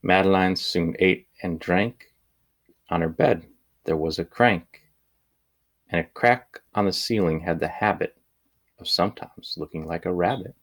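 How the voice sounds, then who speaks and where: A man reading a children's picture book aloud in measured, deliberate phrases with pauses. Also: low-pitched. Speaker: storybook reader.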